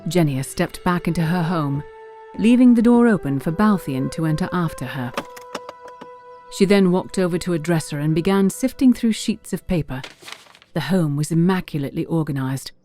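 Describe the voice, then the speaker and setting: Low-voiced woman narrating fantasy. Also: warm tone. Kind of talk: scripted fantasy story narration.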